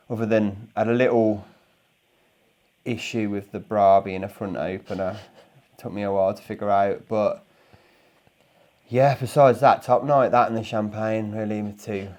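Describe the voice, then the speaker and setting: Man, lowish voice, casual, halting, rambling prank caller. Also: darkish tone. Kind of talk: improvised casual prank call.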